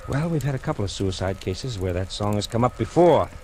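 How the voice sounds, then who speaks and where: An actor playing a businesslike, matter-of-fact police investigator in a 1940s radio drama, brisk and official. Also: male voice, on the low side, expressive, warm tone, lightly acted. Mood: businesslike, grave. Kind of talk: 1940s radio suspense acting. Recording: vintage radio recording with background noise.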